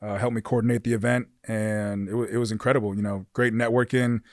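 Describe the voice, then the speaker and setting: A young man from California, a pro football player, chatting casually as a podcast guest in a relaxed, easygoing way. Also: on the low side, fast talker, dry recording, studio quality. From California, USA. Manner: relaxed, easygoing storytelling with frequent fillers. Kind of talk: casual interview chat. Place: dark studio with red lighting. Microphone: studio mic on boom arm.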